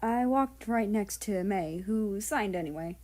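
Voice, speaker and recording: Female story reader and host, unhurried delivery, soft-edged mellow tone, casual and scripted. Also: mid-range voice, expressive. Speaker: audiobook-style reader and channel host. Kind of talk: scripted story narration and casual outro chat.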